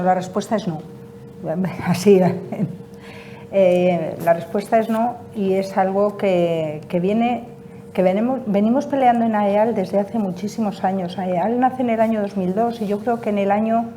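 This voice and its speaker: Emphatic woman, mellow voice. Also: mid-range voice, a little hiss or hum. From Spain. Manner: emphatic, repeats key points. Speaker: patient association representative. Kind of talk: panel interview answers.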